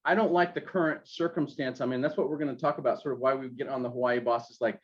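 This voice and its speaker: A man hosting an interview, asking questions in a halting way with many restarts. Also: medium pitch, fairly even intonation. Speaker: podcast host. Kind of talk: casual interview. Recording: dull lo-fi sound.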